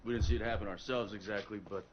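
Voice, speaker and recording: A man's voice: fairly low pitch, steady tone, fast talker, lightly acted. An actor playing a cocky, wisecracking young male monster hunter in a TV drama, in muffled audio. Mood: wry. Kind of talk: TV drama acting. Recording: muffled TV audio, loud background noise.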